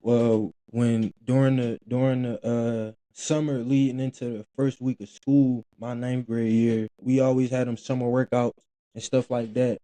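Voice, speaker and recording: A young man, his voice very dark and mellow. He talks in a loose, rambling way with slang and many restarts, recorded close and dry. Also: medium pitch, little pitch movement, a little slow, dry recording. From Philadelphia, Pennsylvania. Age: 20s. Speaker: guest, former college football player. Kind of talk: casual interview talk.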